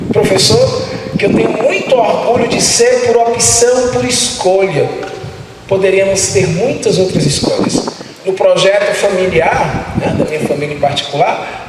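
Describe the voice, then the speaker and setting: A man's voice: high-pitched, noisy background. A man from Salvador gives a motivational talk to teachers. He keeps asking the audience rhetorical questions and tags his phrases with "né". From Salvador, Bahia, Brazil. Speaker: guest lecturer speaking to teachers. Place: large hall with an audience. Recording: very echoey hall, voice heard from a distance.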